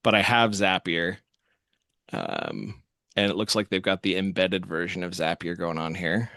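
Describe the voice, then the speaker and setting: Low-voiced man thinking aloud, dry sound. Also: expressive, a little slow, gravelly, bright, forceful tone, dry recording. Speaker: host demonstrating software. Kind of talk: casual screen-share walkthrough.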